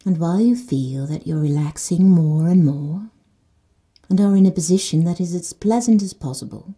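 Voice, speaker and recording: A woman leads a guided sleep meditation in a slow, soothing manner. She often drops to soft whispers. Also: fairly low pitch, breathy edge. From Netherlands.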